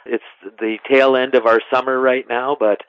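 A middle-aged man, giving measured, explanatory answers as an interview guest. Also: medium pitch, little pitch movement. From west central Wisconsin, United States. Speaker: interview guest, national park superintendent. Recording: narrow phone-line sound.

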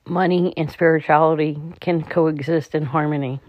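A meditation guide speaking in a calm, slightly halting way, leading a slow guided relaxation. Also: mid-range voice, no echo. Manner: calm, halting delivery with stumbles. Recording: dull, muffled lo-fi recording.

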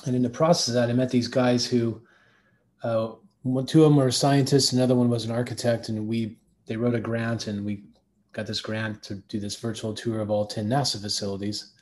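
A man's voice: mid-range voice, flat delivery, fairly quick, darkish tone. A man with a mid-range voice tells a long, rambling anecdote during an interview. He is a design agency co-founder and keeps circling back with fillers and restarts. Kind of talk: conversational interview answers.

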